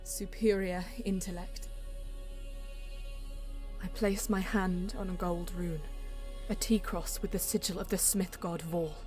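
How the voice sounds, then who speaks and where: A woman playing a solemn, proud female Eldar bonesinger in a sci-fi audio drama, narrating in a measured, hushed, portentous way. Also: fairly low pitch, steady tone. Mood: solemn awe. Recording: music under the voice. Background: music.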